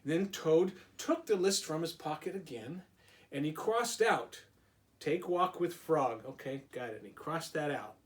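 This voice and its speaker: A man's voice: fairly high pitch, lively intonation, moderate pace. A man reading a storybook aloud to young children like a teacher, in simple phrases with small asides. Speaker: preschool and kindergarten teacher.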